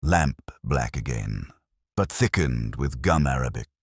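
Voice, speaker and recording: A brilliant, scornful detective, played by a male narrator speaks in solemn, clipped pronouncements for an audiobook mystery. His voice is very deep and slightly husky, theatrical and grave, recorded dry. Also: lively intonation, a little slow, dry recording, exaggerated voice. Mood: intent, deducing.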